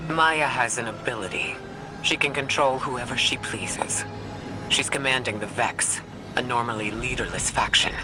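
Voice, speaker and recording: A performer playing an earnest, formal advisor in a sci-fi video game, speaking in a measured manner. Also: music in the background, lightly acted. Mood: grave. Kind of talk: video game dialogue acting. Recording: noisy game ambience, vehicle rumble.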